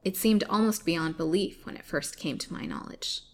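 A woman reading the lines of a scheming male villain, speaking smoothly and commandingly. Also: on the low side, moderate pace, natural voice.

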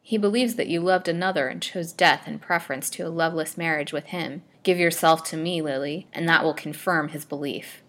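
A woman's voice: deep voice, a little fast, hardly any pauses, fairly bright tone. Woman playing an ardent, possessive male suitor, melodramatic. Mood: coaxing, insistent. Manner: florid, melodramatic declarations.

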